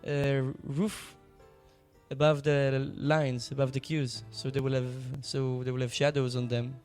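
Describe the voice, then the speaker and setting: A young man from Israel doing national service, answering interview questions in a soft, thoughtful, hesitant way. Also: mid-range voice, level tone, a little slow, faint music behind. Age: young adult. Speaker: guest, aid worker.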